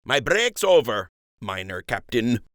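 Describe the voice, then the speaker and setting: A man's voice: mid-range voice, very animated, a little slow, a bit bright, dry recording, studio quality. A man voicing a rambling, cheerful rabbit dock worker who talks in a folksy, ungrammatical country dialect. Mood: teasing.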